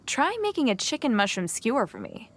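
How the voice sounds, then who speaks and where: A woman's voice: on the high side, huge pitch swings, fairly quick, a bit bright, slight background noise, heavily acted. A woman playing a confident, friendly young female wilderness survival expert in a video game, speaking in a self-assured, instructive way. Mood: confident, welcoming. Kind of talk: English video game character acting.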